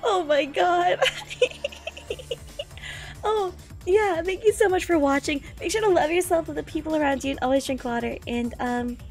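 A woman giving an excited live reaction as a video host, gushing, squealing and repeating her phrases. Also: high-pitched, normal speed, faint music behind. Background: game trailer soundtrack.

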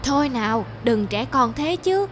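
Woman acting a gentle, high-voiced young mother. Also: expressive, normal speed, background noise, lightly acted. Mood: patient. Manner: soothing, gently instructive. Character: gentle, patient young mother, wise and caring. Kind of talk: cartoon voice acting.

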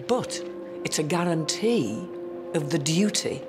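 A low-voiced woman gives emphatic commentary, slowing right down on key words for effect. Music plays clearly behind her. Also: animated. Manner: emphatic, dramatic phrasing with drawn-out words. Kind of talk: documentary interview.

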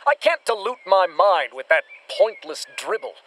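An actor with an American accent playing a dim, swaggering, bombastic monster hunter in a retro radio serial. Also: male voice, high voice, very animated, moderate pace, a bit bright, slight background noise. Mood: self-important. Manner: bombastic, boastful, theatrical.

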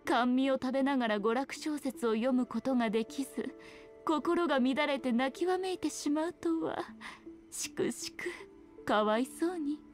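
Sly, high-voiced fox shrine maiden played by an actress. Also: female voice, normal speed, soft background music, close to an everyday voice. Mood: mock sorrow. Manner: archaic haughty Japanese speech, playful mock sympathy. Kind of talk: scripted video game dialogue.

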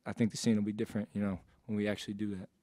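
A young college basketball player fields postgame questions at a press table, talking quickly in a low, flat, laid-back way. His voice sits a bit low and sounds dry and close on the table mic. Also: no room sound. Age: young adult. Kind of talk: postgame press conference answers. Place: press conference room. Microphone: table mic on stand.

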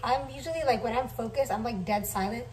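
A woman's voice: fairly high pitch, brisk, background noise. Chatty young woman, distant camera mic. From Somali family. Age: young adult. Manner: chatty, rambling, filler-heavy. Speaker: vlogger. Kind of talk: casual cooking vlog chat. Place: home kitchen, indoors. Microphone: camera on counter, distant.

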